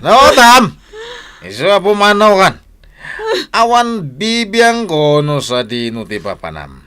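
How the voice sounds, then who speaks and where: Man doing a stern, mocking Ilocano radio-drama husband, pitch leaping all over. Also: big pitch swings, slow, clear, resonant tone, exaggerated voice. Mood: angry. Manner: loud, scolding, mocking laughs. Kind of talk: 1990s-style AM radio drama acting.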